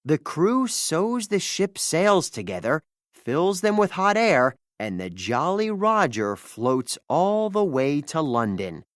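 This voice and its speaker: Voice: medium pitch, big pitch swings, slow, dry recording, studio quality. A storybook narrator reading a scripted children's tale expressively, acting out the dialogue.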